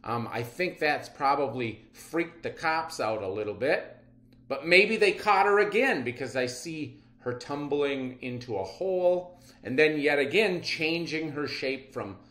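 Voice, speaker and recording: A middle-aged man gives an instructional reading lesson for children. He takes his time and is very expressive, his pitch moving widely. The room adds a noticeable echo. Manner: animated, expressive, explanatory. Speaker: teacher. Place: indoor room. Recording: roomy echo.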